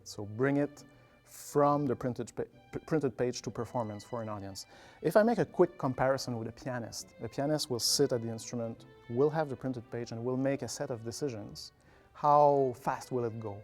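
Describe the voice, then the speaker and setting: A man's voice: mid-range voice, a little mellow. A man, an orchestra conductor, explaining things instructively with examples and analogies in interview answers. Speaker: orchestra conductor, artistic director.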